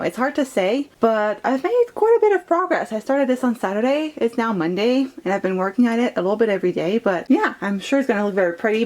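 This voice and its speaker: Young woman, native French speaker, rambling vlog chat, slight background hiss. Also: mid-range voice. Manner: chatty, rambling, lots of "like". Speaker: vlogger. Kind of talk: casual reading vlog chat.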